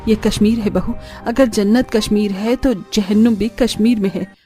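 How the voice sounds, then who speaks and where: A woman's voice: medium pitch, steady tone, normal speed, warm tone, soft background music, natural voice. A woman voicing a caring, anxious middle-aged mother-in-law in a cartoon story, with a motherly, fussing manner. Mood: fearful warning. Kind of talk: Hindi cartoon story dialogue.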